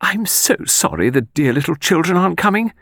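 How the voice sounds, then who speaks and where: Male narrator as timid female companion, flustered, murmuring, apologetic, lilting pitch, storybook dialogue. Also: very animated, normal speed, dry recording, clean studio sound. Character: meek, flustered, sentimental lady's companion. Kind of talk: audiobook character voice.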